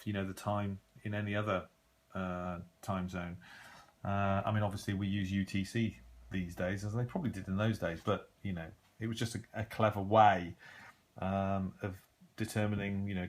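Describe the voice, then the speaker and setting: British male hobbyist presenter, middle-aged, lowish pitch, informal and reflective, thinks as he talks. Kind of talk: casual unscripted show-and-tell.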